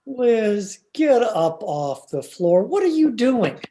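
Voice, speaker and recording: A man playing a slow, mellow-voiced weary husband. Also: high voice, sing-song, full character voice. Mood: exasperated. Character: weary, exasperated husband, mild-mannered. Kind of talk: old-time radio comedy acting.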